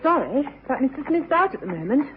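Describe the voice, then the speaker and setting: A woman's voice: high-pitched, very animated, a little fast, background noise. A woman playing a guarded but civil young boarding-house woman in an old radio drama. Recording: muffled, band-limited old radio recording.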